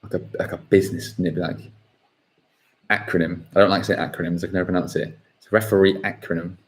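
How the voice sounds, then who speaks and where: A man hosting a live football quiz, reading questions slowly and repeating them. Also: deep voice, steady tone. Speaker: quiz host.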